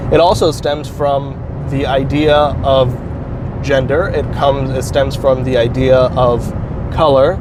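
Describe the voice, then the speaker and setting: Halting, thoughtful man outdoors by traffic. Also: mid-range voice, level tone. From Turkey. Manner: halting, thoughtful, frequent restarts. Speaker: channel host. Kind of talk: reflective spoken monologue. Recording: clear traffic noise outdoors.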